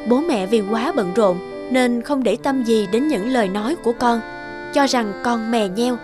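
High-voiced female cartoon storyteller. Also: moderate pace, over music. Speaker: narrator. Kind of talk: scripted storytelling narration for animated stories.

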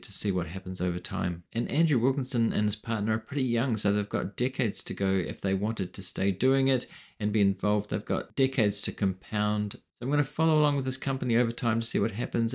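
A middle-aged man hosting an investing channel, talking alone to camera at home in a measured, explanatory way. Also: fairly low pitch. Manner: measured, explanatory, asks viewers questions. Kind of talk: solo commentary to camera. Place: room at home with window. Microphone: desk microphone in front.

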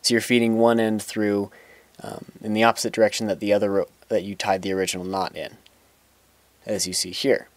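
A man's voice: lowish voice, level tone, brisk, no room sound. A young man giving a plain step-by-step knot-tying tutorial outdoors, talking in an instructional way and now and then restarting a sentence. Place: outdoors on grass.

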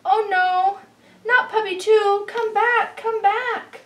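A woman voicing a dramatic, exasperated pet owner in a storybook, emphatic and loud. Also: very high voice, steady tone, slow, voice pushed up, full character voice. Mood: distressed, pleading. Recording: roomy, distant camera microphone.

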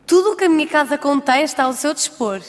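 A woman's voice: sits high, expressive, fast. A woman voicing a proud, seductive sorceress in a European Portuguese cartoon dub, with formal, theatrical delivery. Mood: silky, sly. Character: proud seductive sorceress, vengeful, then pleading. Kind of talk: dubbed cartoon acting. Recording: long hall reverb.

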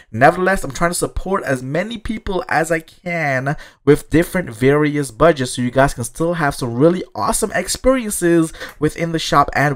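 A man with a slightly raspy voice from a sore throat, giving an upbeat, thankful voice-over for a video game showcase while promoting his loot packages. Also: fairly high pitch. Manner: upbeat, thankful, salesy and repetitive. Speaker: gaming YouTuber selling loot packages. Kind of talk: casual gameplay showcase commentary. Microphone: voice-over over gameplay.

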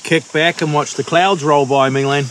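A man's voice: fairly high pitch, steady tone, normal speed, fairly bright tone. A man chatting casually while fishing on a rocky sea coast, laughing often and cheering his mates on. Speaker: vlog host. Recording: wind and waves, noisy outdoor camera mic.

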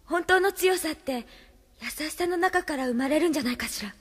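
An actress playing an earnest, gentle but defiant young woman held captive in an anime-style audio drama, speaking in a pleading manner. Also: sits high, lively intonation, a little fast. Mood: reproachful, sincere.

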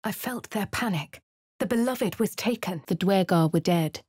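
An actress voicing a dry-witted, composed female adventurer in a video game, with a husky upper-class English accent and a crisp, measured delivery. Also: medium pitch, darkish tone, no room sound, natural voice. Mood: wry. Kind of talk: video game voice lines, in-character narration. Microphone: close studio mic.

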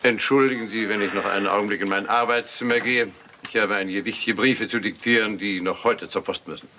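Stern old-school lord in radio play, low sharp voice, formal, hissy. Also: male voice, fairly even intonation, a little fast. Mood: formal, commanding. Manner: commanding, formal. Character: stern, formal English lord, father of a grown son.

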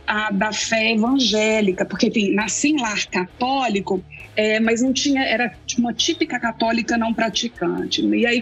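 A woman's voice: fairly high pitch, slightly rough, rough recording. A woman in her forties from Belo Horizonte, speaking with a Belo Horizonte accent, reflecting thoughtfully as an interview guest over a video call. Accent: Belo Horizonte Portuguese. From Belo Horizonte, Brazil. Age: 40s. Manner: thoughtful, with frequent fillers and restarts. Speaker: guest theologian.

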